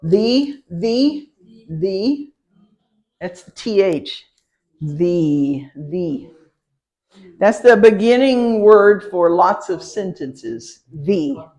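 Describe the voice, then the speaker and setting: A woman's voice: mid-range voice, lively intonation, extremely slow, a little mellow. A woman teaching a citizenship class, patiently repeating words for her learners and laughing lightly between points. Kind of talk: classroom pronunciation lesson.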